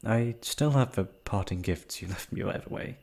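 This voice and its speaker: A man's voice: fairly low pitch, moderate pace, gravelly, darkish tone. A man acting a shy, proud, wistful noble prince in a romantic fantasy roleplay, with hesitant, formal, sighing speech. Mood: wistful, teasing.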